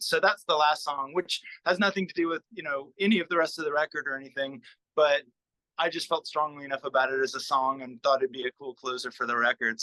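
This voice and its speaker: The musician guest in a dry radio-style studio interview, a man with a bright, cutting voice, piecing his answers together haltingly and conversationally. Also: fairly high pitch, no echo, low-quality recording. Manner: halting, searching for words, trailing off with "you know".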